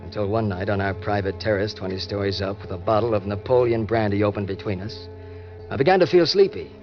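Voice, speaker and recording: Noir radio villain, played by a man: low, clipped, cynical and edgy, slangy delivery, dull vintage recording. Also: fast, faint music behind, natural voice. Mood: cynical, tense. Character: hard-boiled murderous schemer, cynical and edgy. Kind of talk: old-time radio crime narration and acting.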